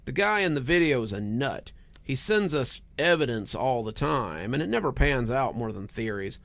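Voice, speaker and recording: An unbothered local police officer in a horror story, read by a man. He is dismissive and matter-of-fact, with lively intonation and some fry in his voice. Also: mid-range voice, normal speed, dry recording.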